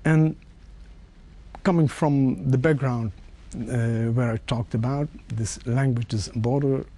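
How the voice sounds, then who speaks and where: Mellow-voiced Dutch man asking questions. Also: mid-range voice, fairly even intonation. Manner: measured, with halting restarts. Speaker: interviewer. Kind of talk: formal interview question.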